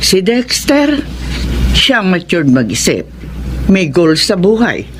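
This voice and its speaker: An insistent middle-aged Filipina mother with highly expressive, rising and falling intonation. She sounds protective, in radio drama style over noticeable background noise. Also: takes it slowly. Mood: firm, urging. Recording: noisy ambience behind dialogue.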